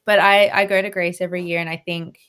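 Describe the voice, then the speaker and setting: A woman's voice: on the low side, flat delivery, fast talker, fairly bright tone, clear, resonant tone. A woman guest on a radio interview, talking in a chatty way with frequent laughs and restarts. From Australia. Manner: chatty, laughs often, many restarts and fillers. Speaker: guest violinist.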